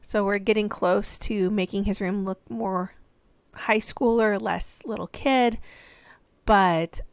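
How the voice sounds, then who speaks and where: A woman in her thirties from the American Midwest, hoarse and losing her voice, chatting in a rambling voiceover at home. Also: mid-range voice, fairly even intonation, slow, no echo. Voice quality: tired, yawning. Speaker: reseller vlogger.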